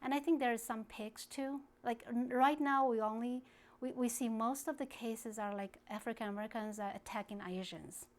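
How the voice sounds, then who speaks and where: Halting woman. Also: a little high, fairly even intonation. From China. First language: Kazakh. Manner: halting, searching for words, frequent restarts. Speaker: guest activist. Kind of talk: interview answers.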